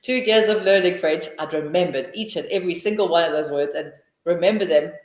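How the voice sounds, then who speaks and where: A woman's voice: lowish voice, brisk, echoey room, poor audio. A middle-aged woman with a South African accent, answering questions in a webinar and thinking aloud with many restarts. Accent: South African English. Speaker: teacher trainer.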